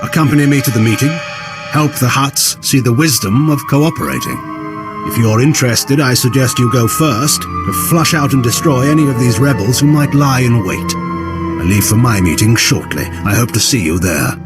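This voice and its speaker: Brief: actor, male, as arrogant military admiral, authoritative and formal, very animated intonation over game music. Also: mid-range voice, moderate pace, close to an everyday voice. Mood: cool authority. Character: stern, haughty Imperial admiral, formal and commanding. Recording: loud game music behind voice.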